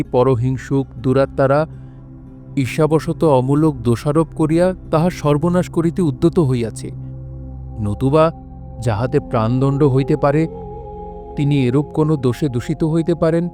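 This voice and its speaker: Mellow, earnest male courtier played by an actor. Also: medium pitch, soft background music, close to an everyday voice. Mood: humble, pleading. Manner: formal, earnest, deliberate. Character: noble, loyal, humbly pleading male courtier. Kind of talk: Bengali audio drama acting.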